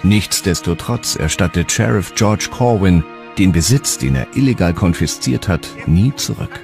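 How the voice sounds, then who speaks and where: A man's voice: low-pitched, normal speed, vocal fry. A man delivering scripted narration for a history documentary. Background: faint background music.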